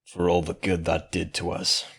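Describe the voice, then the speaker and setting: A loyal male earth pony from a fantasy story voiced by a man. He mutters in a low register, quiet and sombre. His manner is humble and deferential. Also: completely flat delivery, normal speed, gravelly, husky, full character voice. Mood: brooding. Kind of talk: dramatic fan fiction reading.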